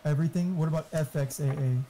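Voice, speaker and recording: A man's voice: on the high side, steady tone, fast talker, warm tone, a little hiss or hum, poor audio. A man chatting casually, explaining tech settings in an informal, mildly profane way. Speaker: friend giving tech advice. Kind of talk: casual chat.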